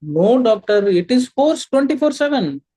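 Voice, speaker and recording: A worried woman in her forties, acted out by a man, answers her doctor earnestly and deferentially in a clinical role-play. The voice is soft and mellow, with little edge to it. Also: very high voice, very animated, moderate pace, slightly rough, pitched above the performer's own voice, heavily acted. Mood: anxious, earnest. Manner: repeatedly addresses the listener as doctor. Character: worried 43-year-old female patient with hoarse voice.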